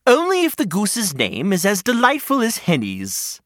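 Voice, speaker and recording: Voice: mid-range voice, wildly swooping pitch, normal speed, fairly bright tone, no room sound, studio quality, voice pushed up. A storyteller voicing an anxious hedgehog who worries about everything and speaks in a nervous, deflated way. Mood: worried.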